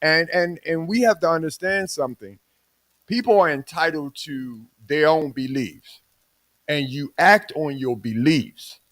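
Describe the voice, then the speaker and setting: A man's voice: on the high side, measured pace, a bit bright. A man in his sixties co-hosting a podcast, talking in a preachy, emphatic way and drawing out his phrases. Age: 60s. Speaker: podcast co-host. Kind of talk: casual podcast chat.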